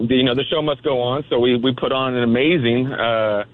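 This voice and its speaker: A man's voice: medium pitch, steady tone, fairly quick. A man phoning a radio show and telling a story casually over a narrow, tinny phone line. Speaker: radio call-in guest.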